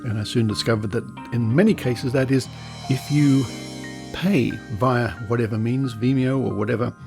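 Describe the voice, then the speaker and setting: A man's voice: medium pitch, fairly even intonation, normal speed, creaky, over music. A man with an Australian accent hosts a podcast in an informal, conversational style. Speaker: podcast host.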